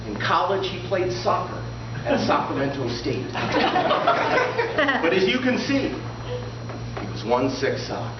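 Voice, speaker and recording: A man telling a comic tall tale to a live audience in a theatrical manner, pausing deliberately for the punchlines. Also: high-pitched, huge pitch swings. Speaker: storyteller in a tall-tale contest. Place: indoor room before a live audience, recorded from afar. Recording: roomy, distant, muffled live recording with loud noise.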